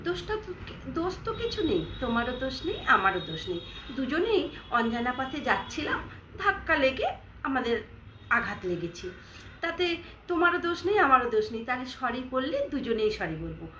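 A small sewing needle pleads and reasons in a raised, lively voice, as a woman narrator puts her on. She sounds calm and humble. The room has a clear echo. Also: high-pitched, moderate pace, a little hiss or hum, exaggerated voice. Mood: pleading. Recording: echoey room.

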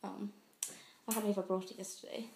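A young woman from the UK with a croaky voice, rambling through a casual video diary with lots of fillers. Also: mid-range voice, flat delivery, fast, a little mellow, a little hiss or hum. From United Kingdom. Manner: rambling, chatty, many fillers and trailing asides. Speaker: vlogger. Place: indoors, abroad in Italy. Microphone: handheld phone camera.

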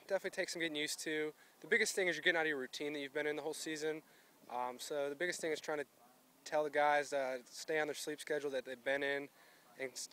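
A young man, a college baseball pitcher, giving easygoing answers in a postgame interview outdoors. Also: pushed and bright, clear, resonant tone. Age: young adult. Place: outdoors beside a baseball field.

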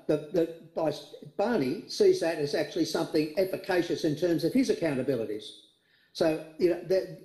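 A man's voice: on the high side, dark tone. An older man speaking as keynote speaker over a video call from his home study, thoughtful and full of restarts. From Australia. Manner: thoughtful, many restarts and repeated words. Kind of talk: answering questions in an online forum. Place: home study with bookshelves, indoors. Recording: roomy video call audio.

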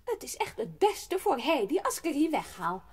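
A woman's voice: sits high, sing-song, normal speed, darkish tone. An actress playing a haughty, pushy city lady in a radio play, chattering and insistent. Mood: indignant, pushy. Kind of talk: Dutch radio play acting.

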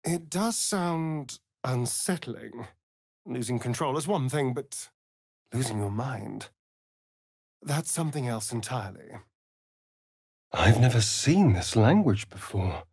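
A man's voice: mid-range voice, animated, slow pace, pauses often, darkish tone, husky, dry recording, heavily acted. A vain, flirtatious male vampire rogue from a fantasy video game. He has a British accent and an arch, theatrical manner, lingering over his words with sweeping, sardonic intonation. The sound is close and dry. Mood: uneasy, wary.

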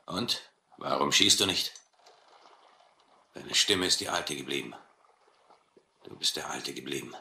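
An actor playing a brutal, proud, cynical sea captain who taunts and philosophizes in a German radio adventure play. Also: male voice, extremely low pitch, vocal fry, bright, forceful tone, echoey room, slight background noise, exaggerated voice. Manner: mocking, slow deliberate taunting.

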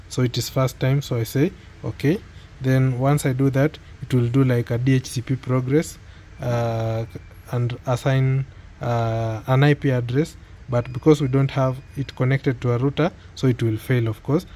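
A man with a Kenyan accent giving an unscripted step-by-step tech tutorial, speaking hesitantly with pauses and repeated phrases. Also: medium pitch, dark tone. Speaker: product tutorial presenter.

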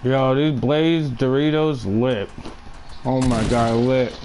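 A man's voice: medium pitch, takes it slowly, darkish tone, a little hiss or hum. A young man chatting in a laid-back, slangy and profane way with friends during an online game. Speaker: friend in party chat. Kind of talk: casual gaming chat.

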